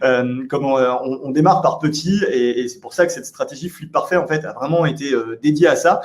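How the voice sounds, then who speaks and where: A man's voice: mid-range voice, fairly quick. A man speaking at a conference, coaching the listener directly with many fillers. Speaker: real estate investor speaker.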